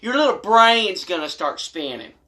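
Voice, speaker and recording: An American man with a very bright, forceful voice hosts a humorous storytime vlog. He talks straight to his audience in a blunt, folksy manner and leans hard on his points. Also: sits high, normal speed. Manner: folksy, blunt, emphatic, animated. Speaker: video host telling stories and advice. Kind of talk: humorous storytime monologue to camera.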